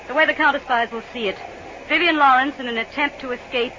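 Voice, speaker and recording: A woman's voice: sits high, quick pace, lightly acted. An actress playing a cunning, commanding female spy villain with a controlled, menacing manner in old radio drama. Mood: ruthless. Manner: controlled, menacing, crisp orders. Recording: dull old radio recording, noisy background.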